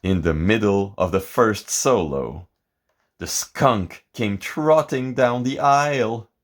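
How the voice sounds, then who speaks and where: A man reading a picture book aloud in a theatrical, expressive and deliberate way, as scripted narration. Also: lowish voice. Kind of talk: dramatic scripted storybook narration.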